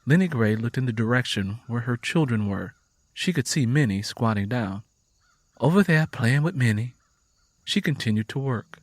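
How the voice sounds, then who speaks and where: A man's voice: mid-range voice, expressive, moderate pace, no room sound. A man reading a dramatic audiobook story as its narrator, in scripted prose.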